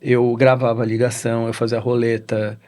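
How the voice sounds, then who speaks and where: A middle-aged Brazilian man with a Brazilian Portuguese accent, a guest in a casual interview, telling a story in halting sentences with frequent "né?" tags. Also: mid-range voice, flat delivery, darkish tone. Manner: halting, with many restarts and "né?" tags. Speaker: interview guest, real estate executive.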